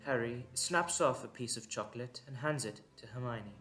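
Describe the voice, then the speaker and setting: A man's voice: mid-range voice, normal speed, fairly bright tone, soft background music. A man giving dramatic scripted narration for an audio drama.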